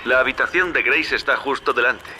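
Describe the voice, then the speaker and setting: An actor with a Castilian Spanish accent playing a wry, chummy opportunist who guides the player in a video game. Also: male voice, mid-range voice, brisk, heavy background noise, close to an everyday voice. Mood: matter-of-fact, instructing. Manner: familiar, sardonic, instructing. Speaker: radio guide character.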